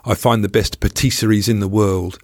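A man's voice: on the low side, fairly even intonation, a little fast, a little mellow, dry recording. Dry-sounding man narrating a wry memoir. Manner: wry, self-deprecating. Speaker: podcast host and memoir narrator. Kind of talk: scripted first-person travel memoir narration. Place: podcast recording studio. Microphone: close studio microphone.

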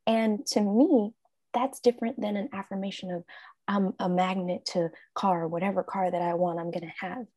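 A woman's voice: mid-range voice, normal speed, no room sound. A woman life coach, a guest in an interview, talking casually and acting out her own thoughts in quotes. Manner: animated, acts out her own quoted thoughts, frequent laughs.

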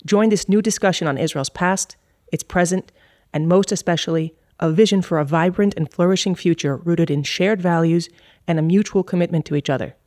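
A woman's voice: lowish voice, a little fast, darkish tone, dry recording, clean studio sound. A woman hosting a podcast, with a polished, articulate delivery. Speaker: podcast host. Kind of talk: scripted podcast intro and moderating.

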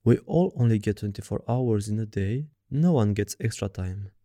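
A man with a Romanian accent hosts a podcast, reading his own script aloud with careful, measured delivery. His voice is very warm and mellow, set fairly low. The recording is dry and close to the mic. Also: no echo. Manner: reads a prepared script in an even, deliberate way. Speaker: podcast host.

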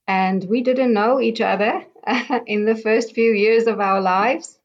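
A middle-aged woman from South Africa, a native Afrikaans speaker with a South African accent, slowly telling her life story as a guest, with many pauses and ums. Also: fairly high pitch, steady tone. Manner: slow, halting, many ums and restarts. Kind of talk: reflective personal storytelling. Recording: dull lo-fi sound.

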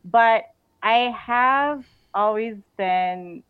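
A woman's voice: fairly high pitch, drawn-out words, clean tone. A woman chatting casually and enthusiastically as an interview guest, in an informal way. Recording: narrow phone-like call audio.

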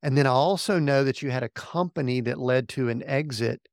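A man hosting a podcast, asking his guest questions in a deliberate way, slowing down and restarting his phrases. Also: medium pitch, vocal fry, dry recording, clean studio sound. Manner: deliberate, slow in places, with restarts. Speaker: podcast host. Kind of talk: interview questions.

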